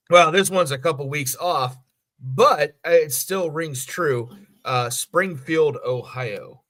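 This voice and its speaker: Wry American man with a bright voice. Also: a little high, expressive, slow. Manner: wry, crude teasing. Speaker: podcast co-host. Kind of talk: casual banter.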